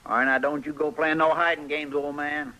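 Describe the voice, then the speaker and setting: An actor playing a fussy, good-hearted frontier deputy in an old radio western, with a grumbling rustic American drawl. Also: male voice, a little high, level tone, fairly quick, bright, forceful tone. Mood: scolding. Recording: noisy, crackly old radio recording.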